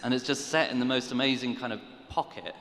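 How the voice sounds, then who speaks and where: A man's voice: medium pitch, quick pace, fairly bright tone. Thoughtful man in an echoing hall. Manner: thoughtful, meandering. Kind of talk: panel discussion. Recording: echoey hall, faint hum.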